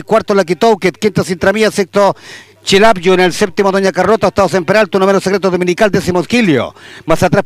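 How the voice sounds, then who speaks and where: Chilean track announcer, steady call, dry close sound. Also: male voice, no room sound. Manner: steady calling that climbs louder and higher at the finish. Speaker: horse racing announcer. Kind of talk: live race commentary.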